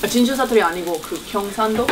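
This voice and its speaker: A woman's voice: mid-range voice, fairly quick, a crowd in the background. A young woman from Australia with an Australian accent and English as her first language, chatting in a casual vlog and asking lots of questions. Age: young adult. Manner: curious, asks lots of questions. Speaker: vlogger. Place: home room with cooking.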